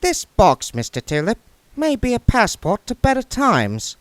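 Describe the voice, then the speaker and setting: A man's voice: sits high, wildly swooping pitch, unhurried, no echo, voice pushed up, full character voice. A man reading aloud and voicing a scheming male crook who talks in a clipped, persuasive way.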